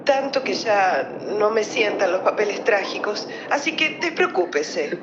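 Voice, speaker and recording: A woman's voice: medium pitch, big pitch swings, unhurried. An actress playing a genteel, self-regarding older society lady in a radio play, with an affected, grand manner. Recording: roomy, muffled, noisy recording.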